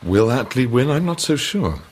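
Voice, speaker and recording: A man's voice: medium pitch, big pitch swings, normal speed, slight background noise, close to an everyday voice. An actor playing a charming, troubled male psychiatrist with an English accent, educated and courteous, in a period radio drama. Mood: wry, engaged.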